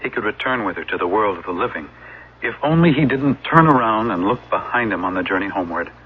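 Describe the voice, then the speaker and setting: A man acting in a radio drama as an ancient, melancholy musician devoted to his art, wistful, hesitant and measured. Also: fairly low pitch, expressive, slight background noise, lightly acted. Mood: wistful storytelling. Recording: dull, lo-fi radio broadcast.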